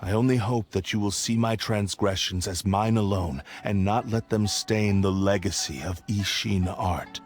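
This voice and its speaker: Man playing a remorseful fallen swordsman, lowish voice, solemn, archaic, game cutscene. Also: fairly even intonation, unhurried, hardly any pauses, soft background music, close to an everyday voice. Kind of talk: video game voice acting.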